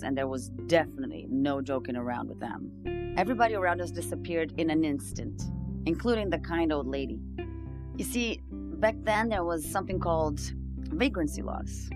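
An actress playing an earnest, weary homeless migrant woman, confiding her story in a conversational audio-drama monologue. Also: low-pitched. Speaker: narrator-protagonist of an audio drama. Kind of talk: first-person dramatic monologue. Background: plucked guitar music.

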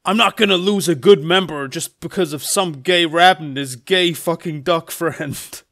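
A man voicing a furious, threatening hedgehog gang leader in a webcomic read-aloud, shouting his threats. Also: fairly high pitch, lively intonation. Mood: angry. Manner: shouted, menacing, drawn-out threats.

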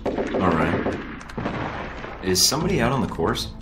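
Cocky young agent, low-pitched, flippant, video game cutscene, roomy with music behind. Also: male voice, sing-song, unhurried. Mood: nonchalant. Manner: casual, flippant. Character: smooth, cocky young male spy recruit. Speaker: recruit agent.

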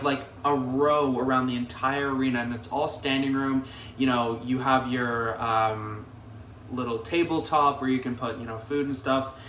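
A man's voice: medium pitch, fairly bright tone, slight background noise. A man in his twenties giving a casual, upbeat arena review to camera from a hotel room, with easygoing fillers and restarts. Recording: echoey room, mic a bit distant.

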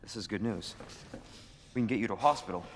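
An actor playing a young male rookie police officer, dutiful and urgent, often shouting under pressure. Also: fairly low pitch, fast talker, lightly acted. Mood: insistent. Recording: game soundtrack with heavy background noise.

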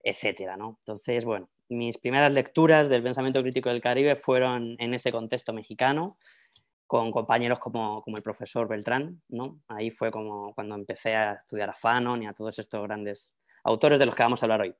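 A man's voice: mid-range voice, steady tone, fairly quick. A middle-aged man with a Madrid Spanish accent, giving an informal academic talk in a conversational way with frequent tag questions, over a video call on an earphone mic. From Madrid, Spain. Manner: conversational, often tagging phrases with a questioning "¿no?". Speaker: academic panelist, researcher. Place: indoors in a book-lined room, on a video call. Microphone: wired earphone headset on a video call.

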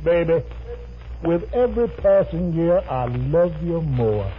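Animated, cocky sweet-talking boyfriend. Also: male voice, a little high, a little slow. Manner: wheedling, cocky. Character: smooth-talking, boastful boyfriend man, slippery sweet-talker. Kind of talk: 1950s radio comedy acting. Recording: thin, narrow, hissy 1950 radio recording.

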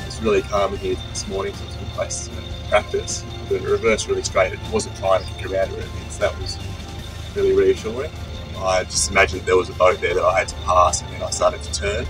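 A man's voice: low voice, a little fast, over music, poor audio. A young man talking casually to camera on a sailboat deck, thinking aloud as he goes. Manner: relaxed, thinking aloud with restarts. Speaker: sailing vlogger.

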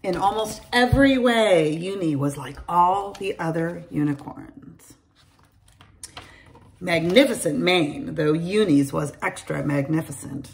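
A middle-aged woman reading a children's picture book aloud to her class, in an animated storytelling manner, recorded on a close phone camera in a large room at home. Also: fairly low pitch, measured pace, slight background noise. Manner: animated storytelling, addresses children by name, gravelly edge. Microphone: phone or laptop camera at close range.

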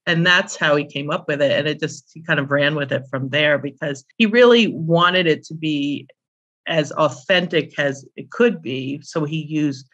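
Low-key middle-aged woman reminiscing in conversation. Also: normal speed, fairly bright tone, dull audio. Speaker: interview guest, author and publisher.

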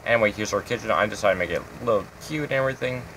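A man's voice: lowish voice, rapid-fire, a bit bright, a little hiss or hum, low-quality recording. A man hosting his own game channel, giving a casual, rambling tour of his builds with lots of fillers.

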